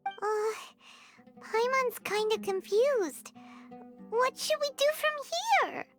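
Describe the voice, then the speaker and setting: A woman voicing a tiny, childlike, naive fairy companion with a squeaky, bubbly delivery in a video game. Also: slow, soft background music. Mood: puzzled.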